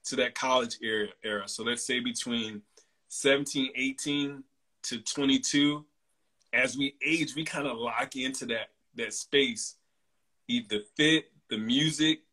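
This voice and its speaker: A man in his forties hosts a relaxed conversation and talks at an easy, rambling pace full of fillers. His voice is bright and forward, sitting at a middle pitch. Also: measured pace, husky, low-quality recording. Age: 40s. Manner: relaxed and chatty, many fillers. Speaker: host. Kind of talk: casual interview chat.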